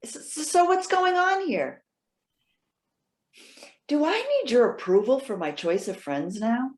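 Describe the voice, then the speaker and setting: A woman's voice: sits high, sing-song, measured pace. A woman acting a proud, independent elderly woman in readers theater, articulate, sarcastic and defensive. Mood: wary, testy.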